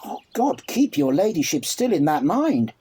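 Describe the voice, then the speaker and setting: A man's voice: fairly high pitch, very animated, moderate pace, mellow, breathy edge. A man playing a cocky bachelor soldier, wry and mock-serious, in a Shakespearean radio play. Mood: cocky banter. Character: cocky, bantering bachelor soldier, scornful of love.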